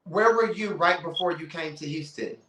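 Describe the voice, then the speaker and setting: High, bright-voiced playful man in an echoey room. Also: moderate pace. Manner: playful, teasing, exclamatory. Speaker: podcast host. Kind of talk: casual interview chat.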